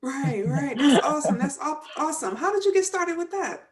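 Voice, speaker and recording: A woman's voice: high voice, big pitch swings, moderate pace, a crowd in the background. A woman hosting a career podcast, upbeat and encouraging as she introduces and questions her guest. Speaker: podcast host. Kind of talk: podcast interview.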